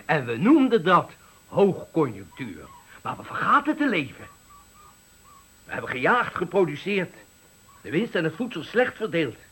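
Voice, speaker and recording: An actor playing an embittered, eloquent factory worker of sixty-five, giving a dramatic, deliberate farewell speech in a radio play. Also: male voice, a little high, wildly swooping pitch, fairly bright tone, husky, heavily acted. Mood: indignant, impassioned. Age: 60s. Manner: oratorical, dramatic, deliberate phrasing. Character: embittered eloquent 65-year-old male factory worker at his retirement.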